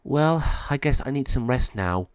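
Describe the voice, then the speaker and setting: A man's voice: medium pitch, expressive, normal speed, smooth, no echo, natural voice. A man voicing a frightened, homesick young male dog in a spoken storybook, pleading and anxious. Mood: hopeful.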